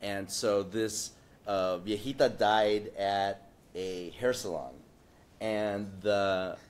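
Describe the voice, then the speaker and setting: A man's voice: lowish voice, fairly even intonation, takes it slowly. A man on a discussion panel telling a casual, rambling anecdote full of fillers, getting indignant as he goes.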